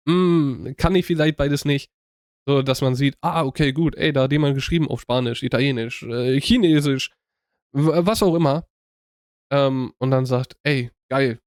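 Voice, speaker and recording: Smooth-voiced young man chatting casually. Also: mid-range voice, dry recording, clean studio sound. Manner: rambling, many fillers and restarts, casual slang. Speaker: YouTube reaction channel host. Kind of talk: casual talk to viewers.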